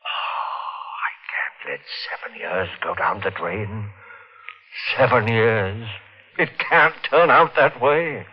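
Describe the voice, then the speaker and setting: A desperate, greedy man whose voice lurches wildly from low mutters to frantic outbursts. He pleads and insists in a feverish rush. The sound is a muffled old radio broadcast. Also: animated, slow pace, husky, heavily acted. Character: desperate greedy man losing his fortune. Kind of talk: 1950s radio drama acting.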